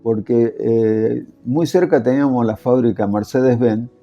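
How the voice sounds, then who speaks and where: Gravelly older Italian-born man, hesitant. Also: mid-range voice, measured pace, warm tone, muffled recording, soft background music. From Italy. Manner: hesitant, many fillers and restarts. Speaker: interviewee, former factory worker. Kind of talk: casual interview.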